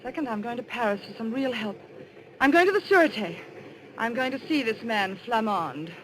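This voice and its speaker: An actress playing a headstrong, accusing young woman in a crisp, emphatic 1940s radio acting style. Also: fairly high pitch, animated, moderate pace, close to an everyday voice. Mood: defiant. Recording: noisy vintage radio recording.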